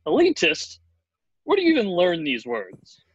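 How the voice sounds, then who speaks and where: A man's voice: sits high, wildly swooping pitch, moderate pace, husky, no echo, exaggerated voice. A man playing a prim, prissy protocol droid with an indignant manner, on a dull call microphone. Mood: fussy, indignant.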